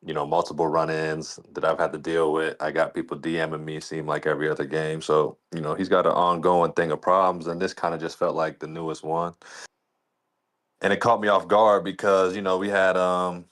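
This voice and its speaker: A man's voice: a bass voice, level tone, a little fast, a bit bright. A man talking casually as a league commissioner on a podcast, in a colloquial, rambling way peppered with "you know".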